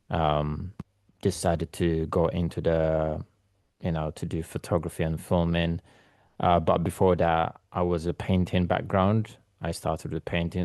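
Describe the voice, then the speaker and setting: Very deep gravelly man, flat and rambling. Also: dry recording. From born in Ethiopia, raised in London. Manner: rambling, many ums and restarts, soft laughs. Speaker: guest photographer. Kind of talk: casual interview chat. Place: remote call from London.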